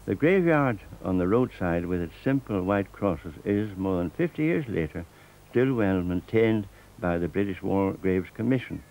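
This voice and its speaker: An older man with a Northern Irish accent, reading a scripted documentary narration in a measured, steady way. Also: low voice, poor audio. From Northern Ireland.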